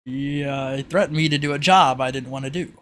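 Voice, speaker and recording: A man's voice: medium pitch, fairly quick, fairly bright tone, slight background noise, close to an everyday voice. A man playing an evasive, exasperated widower villain in improvised tabletop roleplay, hesitant and argumentative.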